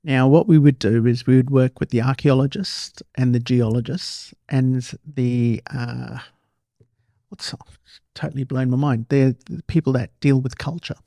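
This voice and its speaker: Man, Australian, podcast host, casual conversational style, soft dark tone, gravelly fry. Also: medium pitch, fairly even intonation, a little slow, no room sound, clean studio sound.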